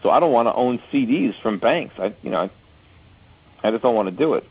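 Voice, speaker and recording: A husky-voiced man in his forties hosts a call-in radio show. He talks at an everyday pitch and lays out his views through plain, homely comparisons. He often trips over his own words and restarts. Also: animated, a little fast, a little mellow, rough recording. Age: 40s. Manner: explains with homely analogies, frequent restarts.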